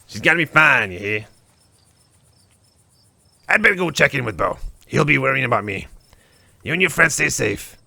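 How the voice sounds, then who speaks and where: A man playing a gruff, folksy, worried small-town man with a gravelly voice in a fan-dubbed visual novel. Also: mid-range voice, big pitch swings, normal speed, long pauses, sharp tone, no echo, full character voice. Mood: worried, protective.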